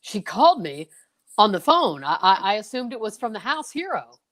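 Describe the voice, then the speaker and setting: A woman's voice: medium pitch, sing-song, moderate pace, fairly bright tone. A woman acting the part of a male doctor in a radio mystery, official and questioning. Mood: puzzled, official. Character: male doctor, brisk and questioning, at a death scene. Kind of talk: old-time radio drama acting.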